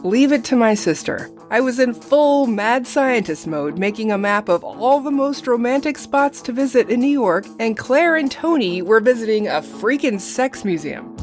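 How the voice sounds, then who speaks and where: An actress playing a meddling, dramatic sister who narrates a romcom audio drama in a wry, confiding, theatrical way. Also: female voice, fairly high pitch, animated, normal speed, natural voice. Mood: wry exasperation. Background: guitar music.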